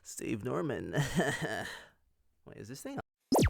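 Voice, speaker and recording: A man speaking as a voice actor in a rambling, casual way, halting and giggly. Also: medium pitch, very animated, gravelly. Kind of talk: rambling casual shout-outs.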